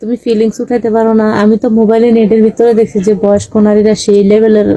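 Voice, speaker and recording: A woman's voice: medium pitch, level tone, fast, mellow, low-quality recording. A young woman chatting casually and insistently on a livestreamed video call.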